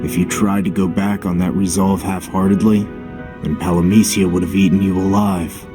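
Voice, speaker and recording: A man plays a steady mentor figure from a game, frank and matter-of-fact. His voice is on the low side and measured, over prominent music. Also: lightly acted. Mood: reassuring. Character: steady, blunt male comrade and mentor. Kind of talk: scripted game cutscene acting.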